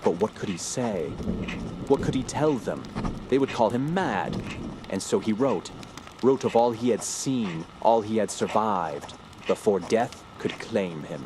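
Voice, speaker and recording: An actor playing a haunted, doomed scholar narrating a horror audio drama in a grave, literary, theatrical way. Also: male voice, fairly low pitch, expressive, measured pace, noisy background. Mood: grim, resigned. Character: haunted scholarly male narrator, dread-ridden, doomed witness of cosmic horror.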